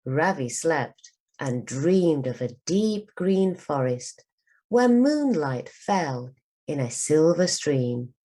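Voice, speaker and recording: A woman with a low voice narrates for young learners. She reads at an unhurried pace, with a lively, expressive rise and fall, like a teacher taking care over each phrase. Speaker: teacher reading a storybook aloud. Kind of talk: scripted storybook narration for learners.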